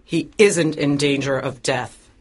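A male audiobook narrator voicing a bitter old woman who defends her brother, in a husky voice. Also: mid-range voice, fairly even intonation, slow. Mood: cold. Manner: pleading, accusing. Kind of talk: audiobook character voice.

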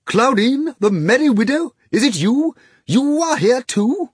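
A man's voice: extremely high pitch, lively intonation, a little slow, breathy edge, no room sound, clean studio sound, voice pushed up, full character voice. A man voicing a fat, drunk, jolly man who bellows and fawns. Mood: joyous. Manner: bellowing, tipsy and fawning.

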